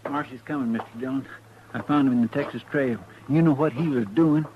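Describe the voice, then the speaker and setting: An actor playing a loyal, folksy marshal's sidekick with a rural American accent in a 1950s radio western. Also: male voice, medium pitch, a little fast, lightly acted. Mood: urgent. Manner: folksy, chatty, deferential. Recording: muffled vintage radio recording.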